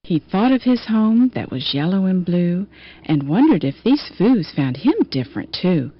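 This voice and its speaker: A woman narrates a rhyming picture book for children. Her delivery is expressive and melodic, rising and falling freely as she tells the story. The recording is a little dull and lo-fi. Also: fairly low pitch, normal speed, no echo. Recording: dull, lo-fi audio.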